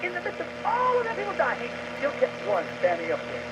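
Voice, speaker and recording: A man's voice: very high voice, huge pitch swings, a little fast, highs cut off, heavy background noise. A man preaching an impassioned sermon, heard through a shortwave radio.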